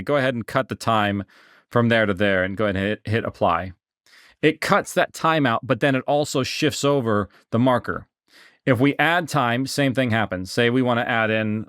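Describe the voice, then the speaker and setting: A man narrating a casual software tutorial as an instructor, informally explaining and thinking aloud as he goes. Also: medium pitch, no echo, studio quality.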